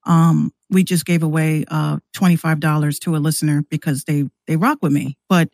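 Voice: medium pitch, steady tone, moderate pace, gravelly, a little mellow, dry recording. Casual podcast talker with a close, dry sound. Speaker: podcast guest, radio show host. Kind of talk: casual conversational talk.

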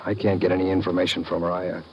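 An actor playing a matter-of-fact undercover agent in an old-time radio drama, casual and conspiratorial. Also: male voice, deep voice, monotone, rapid-fire, highs cut off, noisy background. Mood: confiding, serious. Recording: old radio recording with crowd murmur. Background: crowd murmur.

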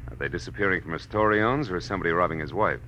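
An actor with an American accent playing a tough, wisecracking private detective in a 1940s radio drama, wry and clipped. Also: male voice, deep voice, racing, sharp tone, slight background noise. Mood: skeptical, wry. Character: hard-boiled, wisecracking male Los Angeles private detective. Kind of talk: 1940s radio acting, noir narration. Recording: old radio broadcast recording.

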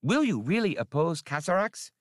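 Man putting on a high-voiced female alien swimmer. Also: very animated, moderate pace, dry recording, studio quality. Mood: challenging, resolute. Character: smaller female alien swimmer, battle-scarred, bold and loyal.

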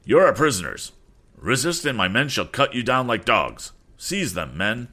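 A man performs a commanding gold-laced naval officer for an adventure storybook. He is imperious and theatrical, barking his orders in a low voice with big swings of pitch. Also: very animated, a little fast, bright, forceful tone, heavily acted. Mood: imperious, menacing.

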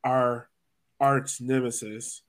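American guy from virginia hosting a soccer pod, medium pitch, slangy casual chat. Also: little pitch movement, slow pace. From Virginia, United States. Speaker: sports podcast host.

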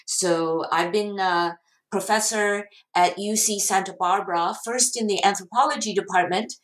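A woman from Taiwan, a professor, answering interview questions slowly with many pauses and fillers. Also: lowish voice, echoey room, poor audio. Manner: slow, hesitant, many fillers. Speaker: guest professor.

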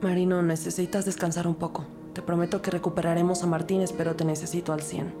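A woman's voice: fairly low pitch, little pitch movement, quick pace, over music, lightly acted. An actress playing a loyal, resolute female police detective in an audio drama, earnest and protective. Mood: soothing, protective. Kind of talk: audio fiction acting and scripted narration.

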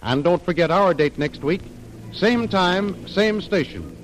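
Male announcer for an old-time radio adventure serial, slightly high voice, dramatic scripted narration over faint music. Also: lively intonation, a little slow, rough recording.

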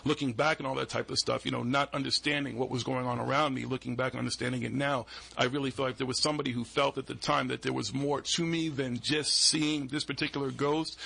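A man's voice: medium pitch, steady tone, quick pace, vocal fry, sharp tone, breathy edge. A man, a podcast guest telling rambling stories in a studio and acting out the voices in them. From Boston. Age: 40s. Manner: rambling, restarts often, acts out quoted voices. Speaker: guest, security business owner. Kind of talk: casual storytelling interview.

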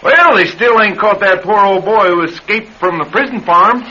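An actor with a Southern US accent playing a cocky, menacing young escaped killer in a radio drama, folksy and taunting. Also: male voice, high voice, moderate pace, pushed and bright. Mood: folksy, casual. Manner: folksy drawl, taunting and boastful. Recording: noisy, dull old radio drama recording.

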